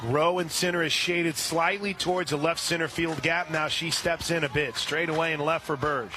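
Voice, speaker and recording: A man doing radio play-by-play of a softball game, calling pitches steadily and rising on big plays. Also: moderate pace, noisy background. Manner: steady play-by-play with brief asides, rising when excited. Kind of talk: radio sports commentary.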